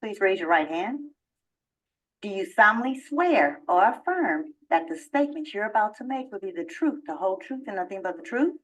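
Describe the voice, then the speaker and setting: Formal immigration officer. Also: female voice, mid-range voice, sing-song. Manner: formal, measured, procedural. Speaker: interviewing officer. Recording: instructional video played from a distance.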